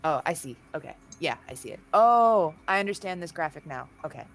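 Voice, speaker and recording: A woman's voice: sits low, lively intonation, fairly quick. A woman chatting casually as a player in a role-playing game, questioning and thinking aloud. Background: music in the background.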